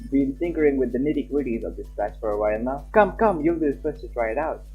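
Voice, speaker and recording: A man's voice: mid-range voice, lively intonation, fast talker, phone-quality sound, faint music behind, close to an everyday voice. A man voicing a big, hearty, jovial male baker in a visual novel, cheerful and welcoming.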